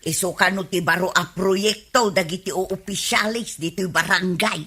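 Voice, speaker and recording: A woman's voice: fairly low pitch, fairly even intonation, normal speed, pushed and bright, husky, exaggerated voice. A woman playing a money-minded, nosy Filipino village wife in a comedy radio drama, exclaiming and complaining.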